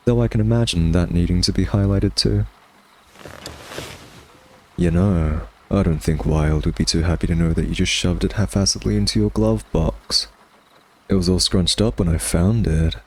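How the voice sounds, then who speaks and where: A man's voice: sits low, steady tone, moderate pace, slight background noise, close to an everyday voice. A man playing a smug male vampire in an ASMR roleplay, taunting a captured hunter with a sardonic, teasing, conversational drawl. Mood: amused snooping.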